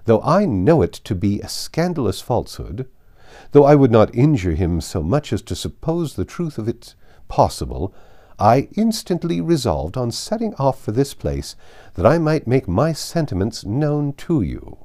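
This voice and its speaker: A man voicing a haughty, domineering older aristocratic lady in an audiobook, with emphatic and deliberate delivery. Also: medium pitch, very animated, warm tone, pitched above the performer's own voice, close to an everyday voice. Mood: stern indignation. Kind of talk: audiobook character voice.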